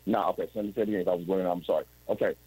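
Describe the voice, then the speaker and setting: A man's voice: sits low, steady tone, very fast, darkish tone, husky, dry recording, poor audio. A man in his forties telling his story as an interview guest over a video call, rambling and restarting often. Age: 40s. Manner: rambling, with frequent restarts and "you know what I'm saying".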